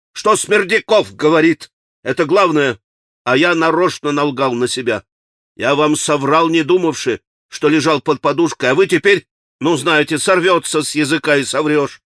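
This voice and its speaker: A man's voice: on the high side, normal speed, dry recording, clean studio sound, natural voice. Man acting out a frantic, hot-tempered accused man. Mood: desperate, insistent. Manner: agitated, shouting, rambling, repeating himself. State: gasping for breath. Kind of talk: dramatic audiobook character acting.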